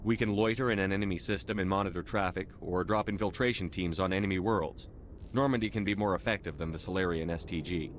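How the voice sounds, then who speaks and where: An actor playing a composed, dutiful military commander in a video game, direct and matter-of-fact. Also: male voice, sits low, fast talker, a little hiss or hum, lightly acted. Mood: firm, persuasive. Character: composed, dutiful male military starship commander, firm and diplomatic.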